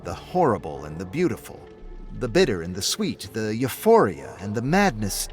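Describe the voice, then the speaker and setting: A man's voice: on the low side, wildly swooping pitch, moderate pace, faint music behind. A man voicing a brooding man tormented by love, speaking with intense deliberation in a story. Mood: philosophical.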